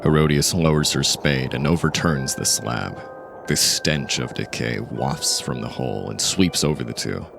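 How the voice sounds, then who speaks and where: A man narrating a dark audio drama in a measured, literary storytelling manner. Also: extremely low pitch, vocal fry, over music. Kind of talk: scripted narration of dark fiction.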